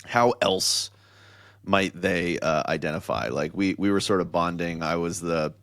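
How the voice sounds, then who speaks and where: A middle-aged man hosting a casual podcast chat, excitable and joking, rambling through his questions. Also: deep voice, measured pace, no echo, studio quality. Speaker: podcast host.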